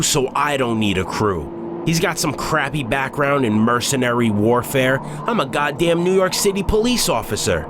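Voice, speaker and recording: Man acting a slow, bitter masked villain. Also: a little high, noisy background. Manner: scornful, menacing, self-aggrandizing. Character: bitter, grandiose male New York cop turned masked villain. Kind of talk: dramatic comic dub acting. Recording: music behind the voice.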